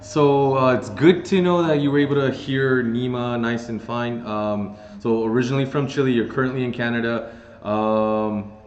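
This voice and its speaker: A man hosting a casual interview chat, relaxed and full of small acknowledgments. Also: medium pitch, moderate pace, echoey room, dull audio. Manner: relaxed, many filler acknowledgments. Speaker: host.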